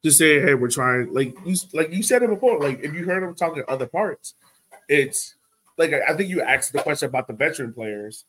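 A man's voice: a little high, expressive, brisk, a bit bright, slight background noise, low-quality recording. A man co-hosting a sports podcast, talking casually and emphatically, pressing his point by repeating it. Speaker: podcast host.

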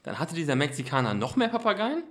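A man's voice: on the high side, wildly swooping pitch, fast, fairly bright tone. A man playing an eager, self-assured boy detective who takes charge, in an amateur German radio play. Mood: curious.

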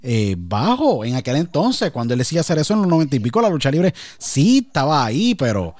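A man's voice: fairly high pitch, big pitch swings, brisk, darkish tone, no echo. A man with a Puerto Rican accent, talking at length in a casual interview and often checking that the listener follows him. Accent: Puerto Rican Spanish. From Puerto Rico. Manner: talkative, emphatic, repeats "me entiendes". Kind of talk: casual conversation.

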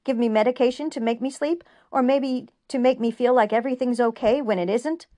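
A woman's voice: medium pitch, expressive, a little slow, no echo. A woman voicing an agitated, frightened young male doctor who raises his voice. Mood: angry.

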